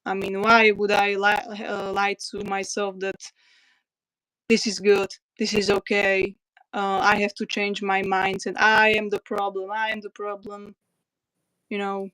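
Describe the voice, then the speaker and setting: Slow, even-voiced woman with a Slovak accent. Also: medium pitch, smooth, dry recording, poor audio. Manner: hesitant, many fillers and restarts. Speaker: livestream host. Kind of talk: casual livestream chat, reading comments.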